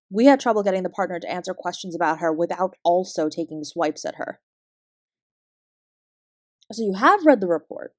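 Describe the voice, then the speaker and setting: A woman with a Canadian accent playing a guarded, weary fraud investigator, giving a clipped, measured account in an audio drama. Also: fairly low pitch, dry recording, studio quality, close to an everyday voice. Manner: measured, clipped report-like delivery. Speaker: investigator being interviewed.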